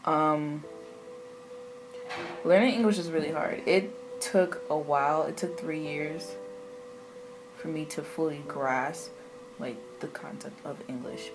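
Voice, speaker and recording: A woman's voice: sits low, fairly even intonation, takes it slowly, long pauses, music in the background, poor audio. A woman in her twenties from Haiti, French her first language, speaking with a Haitian accent and answering interview questions candidly. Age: 20s. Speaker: interviewee, immigrant student.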